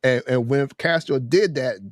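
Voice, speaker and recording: Male panelist, mid-pitched, dry sound, casual and wordy, explaining in a loose conversational way. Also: normal speed, no echo. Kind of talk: casual chat.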